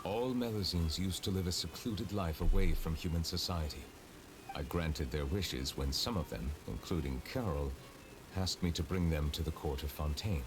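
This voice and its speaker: An actor playing a solemn, reserved ancient chief justice in a game, speaking formally and gravely. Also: male voice, low-pitched, moderate pace, over music, natural voice, low-quality recording. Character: ancient dragon judge in human form, solemn and reserved.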